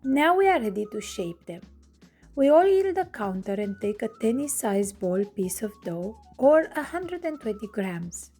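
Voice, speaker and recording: A woman from Romania, hosting her cooking channel and narrating a recipe in clear step-by-step instructions from her home kitchen. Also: mid-range voice, dark tone.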